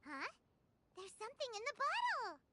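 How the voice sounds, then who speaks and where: Squeaky, very high childlike game sidekick. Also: female voice, huge pitch swings, normal speed, noisy background, full character voice. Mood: startled. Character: small childlike floating companion, excitable and naive. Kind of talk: anime game voice acting.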